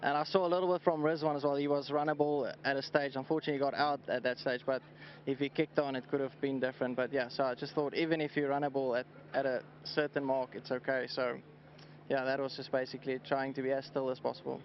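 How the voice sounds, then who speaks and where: A South African man whose first language is Afrikaans, a cricketer giving a halting post-match interview at pitch side, often repeating words. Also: level tone, a little hiss or hum. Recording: slightly dull outdoor broadcast audio.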